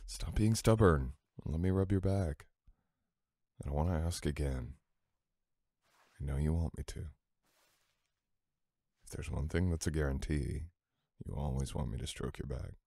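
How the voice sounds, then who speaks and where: A man playing a sweet, teasing boyfriend in an intimate ASMR audio roleplay, coaxing softly and unhurriedly. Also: a bass voice, measured pace, creaky, long pauses, husky, no echo, clean studio sound, full character voice. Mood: tender coaxing.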